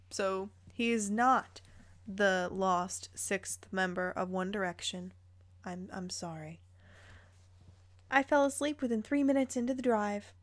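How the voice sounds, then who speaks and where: A woman's voice: a little high, no room sound. A woman reading fan fiction aloud, dropping casual, incredulous, playful asides as she goes.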